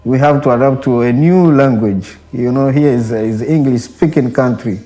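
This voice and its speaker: A young man with a Congolese accent and Swahili as his mother tongue, speaking haltingly and reflectively in English, often repeating phrases. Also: mid-range voice, dark tone. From Democratic Republic of the Congo. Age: young adult. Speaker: Benedictine monastic brother, interviewee. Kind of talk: reflective personal interview. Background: background music.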